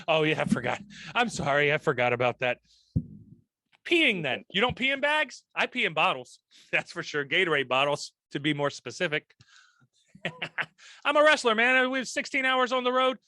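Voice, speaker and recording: Loud, animated male podcast host with crude, jokey banter, high voice. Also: normal speed, dry recording. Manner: crude, joking banter, laughing often. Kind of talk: casual chat.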